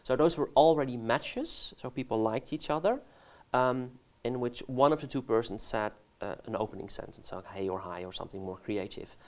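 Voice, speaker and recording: Dutch-accented man, halting studio guest. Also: mid-range voice, a little mellow. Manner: halting, many restarts and fillers, laughs easily. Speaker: guest researcher. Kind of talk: casual interview answers. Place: studio set. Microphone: lapel.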